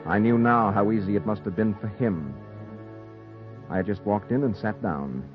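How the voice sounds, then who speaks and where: An actor playing a haunted newspaper reporter with a secret killing, in a brooding 1940s noir radio drama. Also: male voice, lowish voice, lightly acted. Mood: brooding, ominous. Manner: brooding, deliberate first-person storytelling. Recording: muffled 1940s radio recording, music behind.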